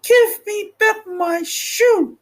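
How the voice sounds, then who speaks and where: Man doing a very high-pitched plucky little girl. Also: very slow, a bit bright, heavily acted. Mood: angry, indignant. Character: innocent young girl, plucky and indignant.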